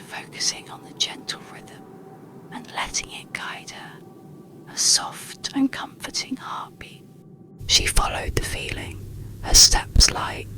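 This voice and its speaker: A narrator reading a bedtime story in a soothing, gentle, breathy ASMR whisper, with deliberate phrasing. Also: mid-range voice.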